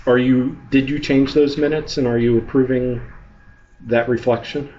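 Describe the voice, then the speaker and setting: A man's voice: medium pitch, fairly even intonation, normal speed, a little mellow. Guy at a county meeting who keeps pushing with questions, muffled lo-fi audio, bit of noise. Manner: persistent, probing questioning. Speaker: member of the public questioning officials. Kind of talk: public comment at a government meeting. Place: indoor public meeting room. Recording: muffled, noisy meeting-room recording.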